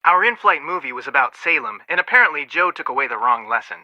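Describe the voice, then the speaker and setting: A man's voice: mid-range voice, very animated, fast talker, pushed and bright, no room sound, muffled recording. An actor voicing a level-headed, protective young male amateur detective in a video game, speaking in an earnest, matter-of-fact way with dry wit. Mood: dryly amused. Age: young adult.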